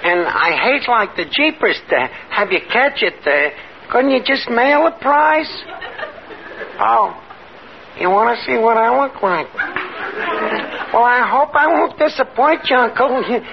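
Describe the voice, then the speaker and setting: Very high boyish voice, polite gushing American kid, 1940s radio comedy, noisy old recording. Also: male voice, vocal fry, highs cut off, exaggerated voice. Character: gee-whiz thirteen-year-old boy, sweetly polite, faked by a grown man.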